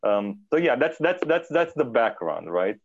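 A man's voice: medium pitch, very animated, unhurried, dry recording, dull audio. Man from romania chatting as a guest, starts and stops a lot, dead dry room. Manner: hesitant, many fillers and restarts. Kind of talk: casual interview talk.

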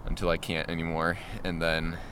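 Really deep creaky young guy, halting casual answers, loud outdoor noise behind him. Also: completely flat delivery. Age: young adult. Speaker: interviewee, student.

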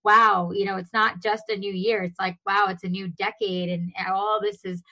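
A woman's voice: medium pitch, level tone, normal speed, pushed and bright, rough recording. Gushing, chatty woman hosting a show. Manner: gushing, chatty, many fillers like "you know" and "like". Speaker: show host. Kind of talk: casual interview chat.

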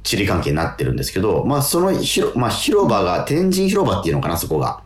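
Animated low-voiced man chatting in roomy sound. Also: quick pace. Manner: animated, excitable, colloquial, repeating affirmations. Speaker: radio show DJ and stage actor. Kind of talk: casual radio chat, storytelling.

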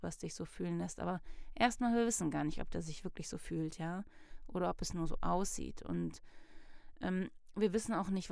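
A woman's voice: fairly low pitch, creaky, darkish tone, dry recording, studio quality. A woman giving a casual self-help talk, reflective and thinking aloud with fillers, often acting out little inner dialogues.

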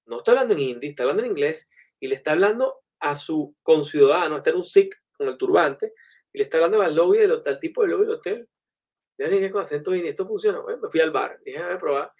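A man tells stories in a loose, animated way, full of fillers and asides. His voice sits a bit higher than most men's. The tone is chatty and conversational. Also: fast. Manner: animated, anecdotal, many fillers. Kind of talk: casual storytelling in conversation.

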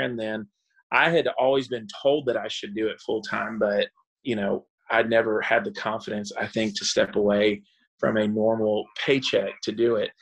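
A country singer-songwriter in his thirties, born in Texas and raised in the Dominican Republic, talking in a relaxed interview with frequent pauses and "you know.". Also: lowish voice, creaky, poor audio.

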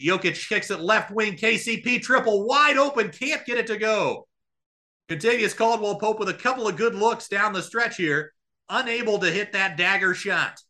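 A man calling a live basketball game as a radio play-by-play announcer, with an excitable delivery. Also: high voice.

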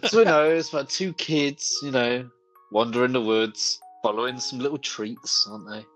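A man's voice: mid-range voice, moderate pace, fairly bright tone, faint music behind, poor audio. A man co-hosting a podcast, telling stories in casual comic banter with wry jokes and swearing. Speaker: podcast co-host.

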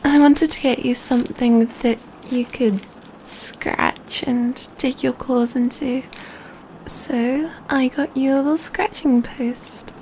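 A woman playing a gentle, doting female vampire in an ASMR roleplay, speaking softly and tenderly into a close mic, often near a whisper. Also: high-pitched, steady tone, slow pace, a little hiss or hum, poor audio. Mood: tender, playful. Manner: tender, cooing, soothing, often dropping to whispers. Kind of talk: ASMR roleplay, soft intimate speech.

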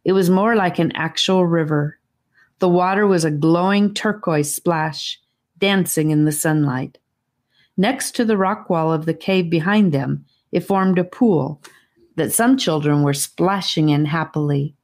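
Female audiobook narrator, low voice, scripted children's fantasy storytelling. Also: measured pace, darkish tone.

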